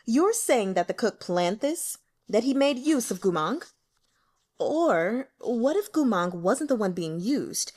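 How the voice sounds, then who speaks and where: A woman's voice: a little high, very animated, slow pace, a little mellow, full character voice. A woman voicing a haughty, irascible young nobleman who bellows imperious orders in an audiobook. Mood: anxious, suspicious. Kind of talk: audiobook character acting.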